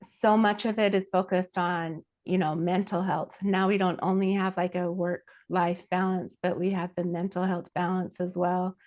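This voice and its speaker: Woman coach on a panel, kinda low voice, earnest n reflective, talking thru advice. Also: flat delivery, normal speed, few pauses, a little mellow. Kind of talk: conversational advice.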